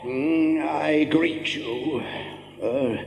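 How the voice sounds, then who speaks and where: An actor playing a feeble, suspicious old king, weary and querulous, halting and mumbling in an audio drama. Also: fairly high pitch, vocal fry, darkish tone, slight background noise, full character voice. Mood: querulous, unwelcoming. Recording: reverberant hall, faint music behind.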